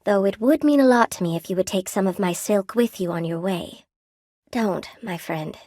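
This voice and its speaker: An actress voicing a gentle, lonely spider-woman shopkeeper in a video game, polite and sweetly attentive, with old-fashioned genteel phrasing. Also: mid-range voice, normal speed, no echo, clean studio sound, lightly acted. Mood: wistful, self-conscious. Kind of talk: video game character voice acting.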